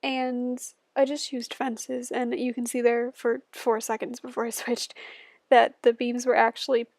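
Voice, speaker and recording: A woman's voice: a little high, flat delivery, measured pace, darkish tone, dry recording, clean studio sound. A young woman in her twenties, a bit stuffy-nosed, chatting casually and giggling as she talks over her building video at home. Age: 20s. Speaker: YouTuber.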